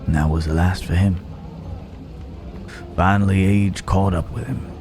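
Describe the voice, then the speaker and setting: A man playing a gallant yet menacing Wild West cowboy in an intimate ASMR roleplay, unhurried, with pauses and folksy old-fashioned phrasing. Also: deep voice, fairly even intonation, noisy background. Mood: sombre, nostalgic. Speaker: voice actor in an audio roleplay.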